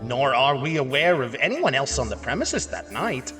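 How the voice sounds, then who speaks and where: Actor as a lively, melodramatic theater manager. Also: male voice, medium pitch, moderate pace, lightly acted. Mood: shaken. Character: shaken, melodramatic old-time theater manager. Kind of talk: film noir radio drama. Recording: clear music behind.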